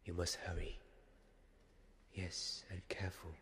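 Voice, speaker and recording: A young man playing a devoted, weary knight in a soft-spoken ASMR roleplay, halting and often slipping into a whisper. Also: low voice, completely flat delivery, a little hiss or hum. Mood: tender farewell. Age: young adult. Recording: long cavernous reverb, faint background ambience.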